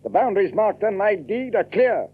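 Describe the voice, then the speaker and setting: A man's voice: high voice, big pitch swings, normal speed, slightly rough, exaggerated voice. A man playing a ruthless, pious Puritan colonel in an audio drama, speaking in commanding, formal oratory full of scripture. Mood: threatening. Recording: narrow-band, old radio sound.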